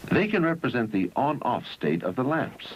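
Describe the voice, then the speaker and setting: Dull noisy vintage film recording, man narrator, lively intonation, instructional, measured phrasing. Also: mid-range voice. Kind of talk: scripted educational film narration. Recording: dull, noisy 1971 educational film soundtrack.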